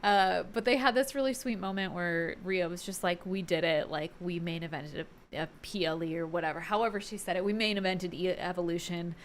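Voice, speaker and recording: A woman talking casually and enthusiastically to viewers in an informal, slangy opinion vlog, like an excited fan. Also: fairly low pitch, a little fast, hardly any pauses, a little hiss or hum. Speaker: wrestling fan vlogger, host.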